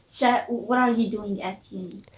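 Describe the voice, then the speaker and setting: A woman co-hosts an interview podcast, asking questions and encouraging her guest with steady affirmations. Her voice sounds distant and roomy, with a faint ambient hum behind it. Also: mid-range voice, moderate pace. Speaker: podcast co-host. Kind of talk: conversational interview.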